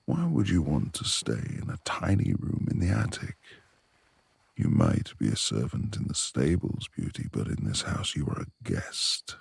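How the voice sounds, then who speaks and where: A man playing a brooding, reclusive beast-like lord in a romantic ASMR roleplay, proud and courteous with a quick temper, speaking in formal, old-fashioned phrases with a gravelly, husky voice. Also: a bass voice, huge pitch swings, no room sound, full character voice. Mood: courteous, teasing.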